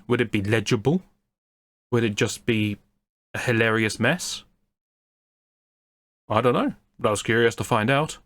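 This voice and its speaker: A man narrating a scripted story in a wry, theatrical manner with deliberate phrasing. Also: mid-range voice, dry recording. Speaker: creator and narrator. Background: music.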